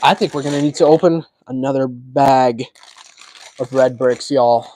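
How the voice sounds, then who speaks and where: A man's voice: mid-range voice, fairly even intonation, normal speed, noisy background. A man, a native Russian speaker with a slight Russian accent, hosting a casual livestream. He chats while building, laughs often and answers viewers' comments. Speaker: livestream host.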